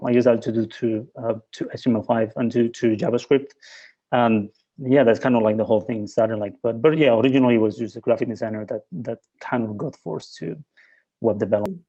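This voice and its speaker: Hesitant man with a mellow voice. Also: mid-range voice, dull audio. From Spain. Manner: hesitant, many fillers and restarts. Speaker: interview guest. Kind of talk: casual interview.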